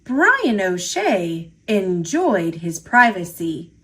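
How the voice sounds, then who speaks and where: A woman reading a children's picture book aloud in an expressive storytelling style. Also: mid-range voice, drawn-out words, breathy edge. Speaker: storybook reader.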